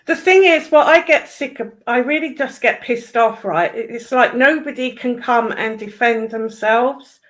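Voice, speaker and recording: A woman's voice: a little high, moderate pace, hardly any pauses. A woman chatting casually in an exasperated, emphatic way, with some casual swearing. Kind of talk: casual chat.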